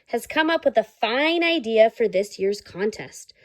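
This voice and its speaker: A woman reading a children's story aloud, pausing to explain things simply to her listeners. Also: fairly high pitch, animated. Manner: pauses to explain words and ask listeners questions.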